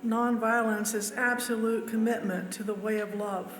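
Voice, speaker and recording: A man's voice: high voice, steady tone, normal speed, a bit bright. A man reading quotations aloud as a church member. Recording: echoey hall, music underneath. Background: music.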